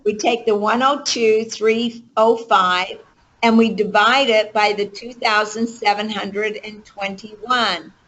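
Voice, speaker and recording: A woman's voice: mid-range voice, steady tone, slow, fairly bright tone. Woman coach on a muffled conference call, takes her time, keeps checking the group follows. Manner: emphatic, checks in with rhetorical questions. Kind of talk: motivational coaching, interactive teaching with questions to the group. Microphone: conference call line.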